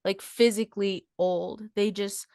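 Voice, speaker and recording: A woman's voice: mid-range voice, fairly even intonation, a little slow, vocal fry, darkish tone, no echo, clean studio sound. Chatty laughing woman in her late twenties. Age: 20s. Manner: chatty storyteller, laughs often, many "like" fillers. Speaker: podcast co-host. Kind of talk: casual podcast chat.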